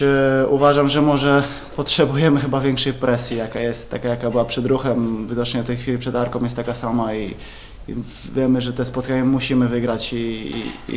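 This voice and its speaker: A young man, a football player, answering questions at a press conference, halting with many repeated words and fillers. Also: mid-range voice, slight background noise. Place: club press room, indoors. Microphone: table mics in front.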